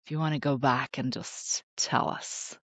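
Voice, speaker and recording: Low-voiced woman hosting a healing podcast. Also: steady tone, unhurried, no room sound. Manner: soft, soothing, affirming. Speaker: podcast host and energy practitioner. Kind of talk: gentle scripted intro, then casual chat.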